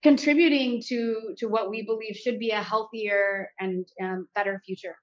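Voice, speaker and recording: A woman's voice: medium pitch, fairly even intonation, normal speed, muffled recording. An American woman, a health company founder, speaking earnestly and articulately as an interview guest. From United States. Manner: earnest, articulate, with light laughs. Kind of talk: interview conversation.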